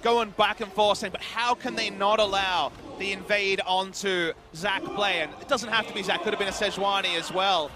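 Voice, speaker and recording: A man giving live esports colour commentary and analysis in an animated, emphatic way. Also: high-pitched, moderate pace. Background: loud music.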